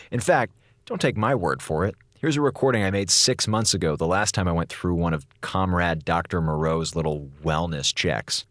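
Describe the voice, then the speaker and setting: A wisecracking space station radio officer in an audio drama. His voice sits a little low and swings around a lot, flippant and sarcastic, recorded close and dry. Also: fairly bright tone, no room sound. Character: sardonic slacker communications officer on a space station.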